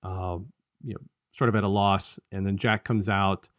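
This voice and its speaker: A man with a fairly low voice talks as a podcast co-host in a dry recording. He retells a story casually and ramblingly, dropping into colloquial versions of the dialogue as he goes. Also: little pitch movement, moderate pace, no echo. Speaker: podcast co-host reviewing an audio drama. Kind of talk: casual conversational story recap.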